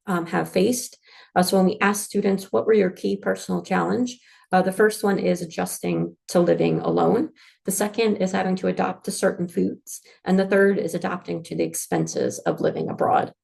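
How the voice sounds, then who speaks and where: A North American woman talks students through an information session about going abroad. Her low voice is thick with gravelly fry. She speaks in a friendly, explanatory way, with plenty of ums and uhs. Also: darkish tone. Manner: friendly, informative, frequent fillers. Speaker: international office staff presenter. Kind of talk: pre-departure info session.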